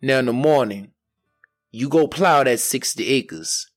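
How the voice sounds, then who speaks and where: A man's voice: medium pitch, measured pace, no room sound, faint music behind, lightly acted. Man telling a tale as a bossy folktale devil. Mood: demanding, smug. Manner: commanding, folksy. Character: bossy devil, demanding father and taskmaster.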